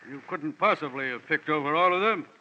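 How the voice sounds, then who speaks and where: An actor playing a fat, suave, menacing gentleman crook with pompous, mock-courteous speech in an old radio drama. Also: on the high side, fairly quick. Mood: impatient, commanding. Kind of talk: 1940s radio villain acting. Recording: dull, slightly noisy old radio recording.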